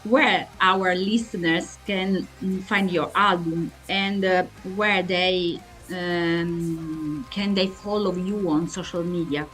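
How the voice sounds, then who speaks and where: A woman with an Italian accent conducts an interview in a rather low voice. She speaks very slowly and haltingly, searching for each word. Faint music plays behind her. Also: steady tone, a bit bright, low-quality recording. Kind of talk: interview questions.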